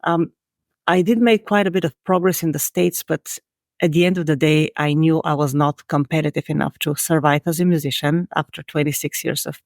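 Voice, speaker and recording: A woman's voice: sits low, fairly even intonation, a little fast, darkish tone, dry recording, clean studio sound. A woman in her forties with a Bulgarian accent, answering interview questions in a frank, earnest, self-critical way. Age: 40s. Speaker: podcast guest.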